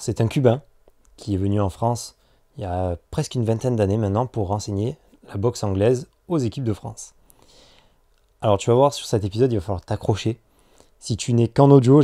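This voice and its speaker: A man hosting a coaching podcast, with a fairly low, warm voice. He talks to his listeners in a friendly, direct way. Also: fairly quick. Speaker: podcast host. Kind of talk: podcast interview.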